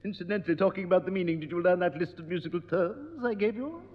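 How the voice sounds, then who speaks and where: An actor playing a fussy piano teacher in a 1960s radio sitcom, stern and pompous and easily exasperated. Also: male voice, high-pitched, fast. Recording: dull old radio broadcast with long reverb.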